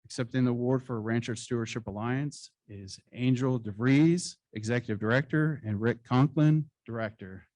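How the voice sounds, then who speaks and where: Male awards presenter at a podium, measured formal delivery, average pitch, dry close sound. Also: darkish tone, no room sound. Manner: formal, measured reading with occasional slips. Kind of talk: reading award citations at a conference. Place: conference hall stage.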